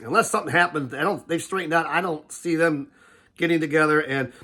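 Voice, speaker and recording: A man's voice: on the high side, brisk, bright, forceful tone. An older man hosting a casual paintball preview, sounding a bit nasal from a head cold and rambling with fillers and restarts. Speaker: host. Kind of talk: casual sports preview talk.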